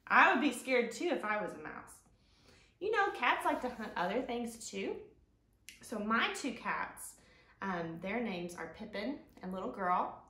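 A woman in her thirties hosting storytime for young children, presenting and reading aloud expressively in simple, drawn-out phrasing. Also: mid-range voice. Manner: expressive, simple child-directed delivery with drawn-out key words. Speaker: children's librarian, storytime host. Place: living room at home, indoors. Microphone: camera a few feet away. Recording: roomy sound from camera mic.

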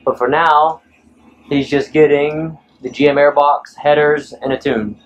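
A man talks shop in a relaxed, matter-of-fact way, using plain garage slang. He is recorded on a handheld action camera inside a large car repair garage. The audio is dull and lo-fi. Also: a little high, unhurried. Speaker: performance shop owner and tuner. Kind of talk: casual shop talk while filming.

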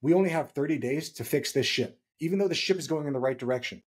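A man in his thirties with a slightly husky voice, co-hosting a podcast and explaining points emphatically, repeating key phrases for stress. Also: on the high side, fast, darkish tone. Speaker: podcast co-host. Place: small home room, indoors.

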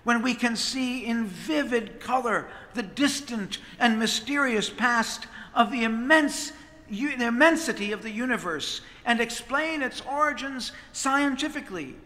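A middle-aged priest preaches a sermon in an unhurried, measured rhythm. His voice is high and bright, and long reverb trails every phrase through the big stone church. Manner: expressive preaching with sweeping hand gestures. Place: large stone church, at the pulpit. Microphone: pulpit microphone on a stand.

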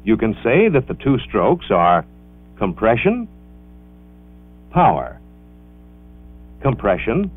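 A male narrator delivers scripted educational film narration with very animated, swooping intonation. He enunciates carefully and explains in a measured, instructional manner. The sound is thin and band-limited, like an old 16mm soundtrack, with very little room echo. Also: fairly low pitch, slightly rough, narrow, tinny audio.

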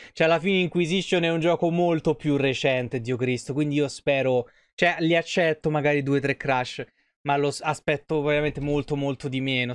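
A man's voice: mid-range voice, clear, resonant tone, dry recording, clean studio sound. A man in his thirties doing casual live game commentary and chatting with viewers, informal and swearing freely. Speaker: live streamer.

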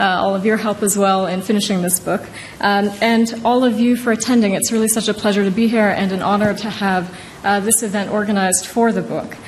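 A woman in her thirties from Indonesia giving an informal academic talk as a law professor, conversational and often checking in with "right?". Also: medium pitch. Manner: conversational, frequently tags points with "right?". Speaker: law professor, author presenting her book. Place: large wood-panelled courtroom-style hall. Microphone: podium microphone. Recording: reverberant wood-panelled hall, some noise.

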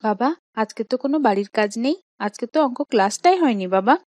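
A woman's voice: on the high side, animated, a little fast, a little mellow, no echo, lightly acted. A woman voicing a polite, earnest young schoolboy in a children's cartoon. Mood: explaining. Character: polite, earnest little schoolboy talking with his father. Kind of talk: cartoon dialogue acting.

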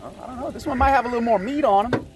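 A middle-aged man with an American accent and a fairly high voice hosts a fishing outing. He talks casually and in a folksy, easygoing way as he works. Clear outdoor noise from the boat and water runs behind him. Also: very fast. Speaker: fishing vlog host. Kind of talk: casual running commentary while fishing. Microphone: handheld camera or phone.

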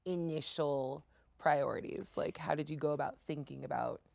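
A woman's voice: low voice, normal speed, creaky, a little mellow, no room sound. A woman in her thirties chatting casually as a guest on a gaming show, with a playful, jokey manner. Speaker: tabletop game player, guest on a discussion show. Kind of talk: casual chat. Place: TV-style studio set.

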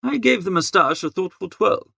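Man as chatty young English gentleman, audiobook narrator, jaunty upper-class slang, higher-pitched, lively intonation. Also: normal speed, creaky, husky, dry recording, studio quality, full character voice. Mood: breezy, chatty. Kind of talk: scripted first-person audiobook narration.

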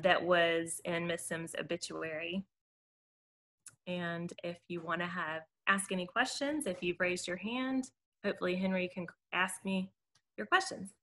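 Woman, American accent, bright and forceful voice, presenting informally on a video call as a garden caretaker. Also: fairly low pitch. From Mobile, Alabama, United States.

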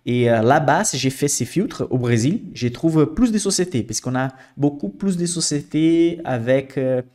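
A man's voice: a little high, lively intonation, moderate pace, a little mellow. Man walking through a stock screener. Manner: explanatory, frequent "ok?" checks and fillers. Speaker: investing channel host. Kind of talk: screen-share finance tutorial.

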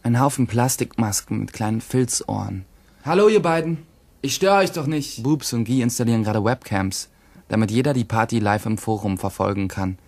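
Creaky-voiced, resentful teenage boy. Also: medium pitch, lively intonation, normal speed, a little mellow, no echo. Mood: detached, dry. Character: resentful, aloof teenage boy hiding his secret. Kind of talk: first-person radio drama narration.

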